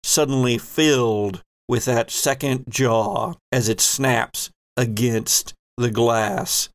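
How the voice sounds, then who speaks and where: A man's voice: medium pitch, takes it slowly, no echo, studio quality. Playful man running a tabletop game. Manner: playful, teasing. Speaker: game master of a tabletop RPG podcast. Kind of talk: improvised narration and rulings at a game table.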